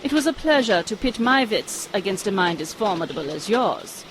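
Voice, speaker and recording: A woman playing a cunning German spy, speaking in a formal, measured way. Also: medium pitch, animated, slight background noise, natural voice, rough recording. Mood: composed.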